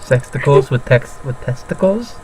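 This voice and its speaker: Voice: mid-range voice, lively intonation, normal speed, warm tone, a little hiss or hum. A co-host joking casually in a teasing, playful manner. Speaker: co-host reading character lines.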